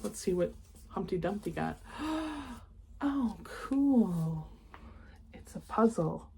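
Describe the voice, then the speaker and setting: A woman reading a children's picture book aloud, expressive in the story and chatting casually and enthusiastically in asides. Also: mid-range voice, slow, vocal fry, slight background noise. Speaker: read-aloud host.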